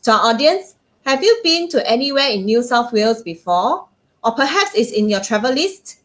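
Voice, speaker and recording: A woman's voice: fairly high pitch, big pitch swings, normal speed, fairly bright tone. A woman co-hosting a travel livestream, upbeat as she reads out announcements. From Singapore. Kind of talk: casual live-stream chat.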